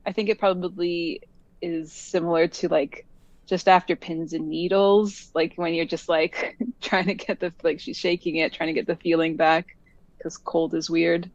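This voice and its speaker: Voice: medium pitch, flat delivery, normal speed, no echo. A tabletop game player who describes their character's actions in a chatty, rambling way with many fillers. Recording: dull, dry lo-fi mic.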